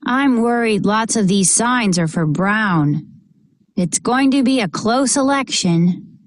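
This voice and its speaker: A woman reading a children's picture book aloud in a measured, expressive way, narrating and acting out short bits of dialogue. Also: on the high side, dry recording, studio quality.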